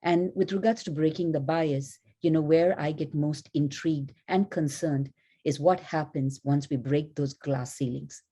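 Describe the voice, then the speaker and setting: A woman panelist who grew up in India, speaking in a thoughtful, measured way with frequent "you know", joining a panel over a video call. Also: low-pitched, fairly even intonation, mellow, slightly rough. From born in Malaysia, grew up in India. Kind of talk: panel discussion remarks.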